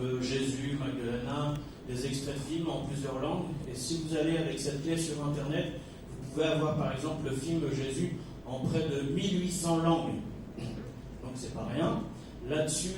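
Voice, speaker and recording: A man from Kabylie in Algeria giving an informal sermon to a congregation, chatty, with joking asides and many hesitations. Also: a little high. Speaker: guest preacher. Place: church hall. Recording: echoey hall from far off, noisy.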